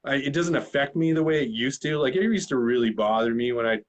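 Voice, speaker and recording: A man's voice: medium pitch, quick pace. A man in his forties hosting a casual chat, frank and joking, swearing now and then. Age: 40s. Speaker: host. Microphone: video call.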